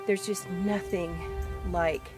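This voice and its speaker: A woman's voice: fairly low pitch, fairly even intonation, takes it slowly. A middle-aged woman speaking reflectively, searching for words in an interview. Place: open meadow, outdoors. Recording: loud music behind. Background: music.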